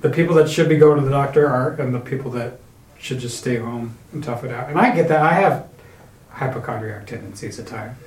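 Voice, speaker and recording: A man's voice: mid-range voice, brisk. A man co-hosting a podcast in casual chat, blunt and swearing freely, with restarts as he goes. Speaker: podcast co-host. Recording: roomy with faint noise.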